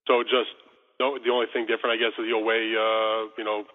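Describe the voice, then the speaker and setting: Fast-talking reporter on a phone line. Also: male voice, lowish voice, little pitch movement. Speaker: sports reporter. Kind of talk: asking long interview questions on a conference call. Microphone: telephone. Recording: phone conference line, tinny and reverberant.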